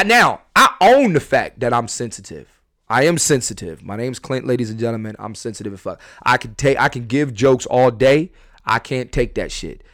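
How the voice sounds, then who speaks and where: A male podcast host from Philadelphia talks in a middle-pitched voice, fast and animated, tripping over restarts as he builds his point. His speech is loose and full of swearing. The sound is dry and close, with no room echo. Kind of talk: casual podcast chat.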